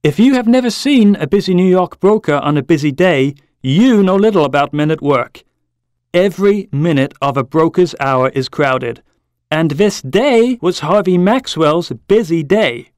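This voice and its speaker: A man reads a short story as scripted narration, in a fairly high voice that moves around a lot. His delivery is measured and expressive, and the sound is close and dry. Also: no echo. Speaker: story reader.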